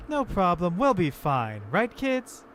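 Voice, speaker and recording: A man's voice: sits high, huge pitch swings, takes it slowly, a bit bright, a little hiss or hum, heavily acted. An actor playing a friendly, easygoing young man in an anime-style visual novel game. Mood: cheerful.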